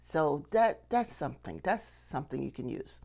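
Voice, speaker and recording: Female, middle-aged, lower register. Teacher-host delivering instructions to camera, stressing and repeating key points. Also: sing-song, normal speed, gravelly, mellow. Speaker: puppetry teacher and video host.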